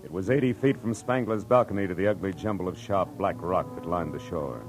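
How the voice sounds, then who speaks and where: A man's voice: deep voice, brisk, over loud music. A man acting a terse, wry American private detective in a 1940s radio drama. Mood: grim, measured. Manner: terse, dry, wisecracking. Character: hard-boiled, wry, commanding male private detective. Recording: noisy old radio recording.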